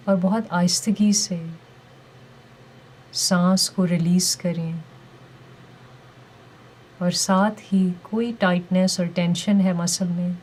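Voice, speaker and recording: A woman leading a guided meditation with calm, gentle, deliberate instructions and pauses between phrases. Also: lowish voice, a little hiss or hum, rough recording.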